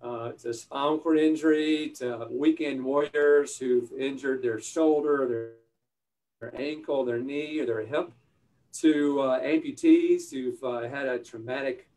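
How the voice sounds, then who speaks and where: A male physician explaining his specialty in a halting way, often repeating words. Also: mid-range voice, a little mellow, far from the mic, low-quality recording.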